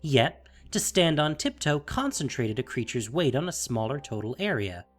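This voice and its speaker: Male storyteller for scripted fantasy drama. Measured, dramatic, widely varied intonation, music underneath. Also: medium pitch, sing-song. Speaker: audio drama narrator.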